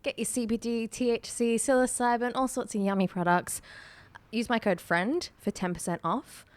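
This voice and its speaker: A woman's voice: a little high, steady tone, moderate pace, no room sound. A woman hosting a podcast in casual chat, bantering in a playful, agreeable way. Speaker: podcast host.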